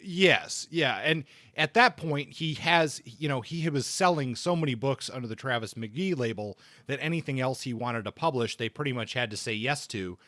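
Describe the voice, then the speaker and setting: A man co-hosting a podcast, casually discussing books in a halting way, often rephrasing as he thinks aloud. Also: medium pitch, expressive, a bit bright, no room sound.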